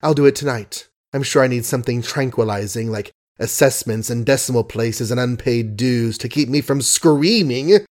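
A man's voice: medium pitch, moderate pace, hardly any pauses, darkish tone, natural voice. A man voicing a proud, temperamental young heiress, speaking in a petulant, exasperated way.

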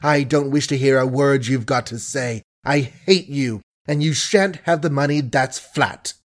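A man's voice: medium pitch, a little slow, lightly acted. A man voicing a scornful, tearful young woman who berates her suitor in emphatic, repetitive rants, in a storybook reading. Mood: angry, tearful. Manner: emphatic, repetitive, ranting and mocking.

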